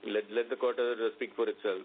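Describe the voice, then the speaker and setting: A man with an Indian accent answers as a company executive on an earnings call, explaining business in a halting, measured way. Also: on the low side, fairly even intonation. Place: conference call. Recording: narrow phone line with faint noise and hum.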